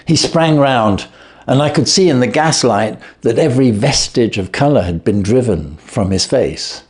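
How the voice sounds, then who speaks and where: A man narrating a classic short story in an audiobook reading, expressive and clear. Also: medium pitch, normal speed. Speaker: narrator, reader.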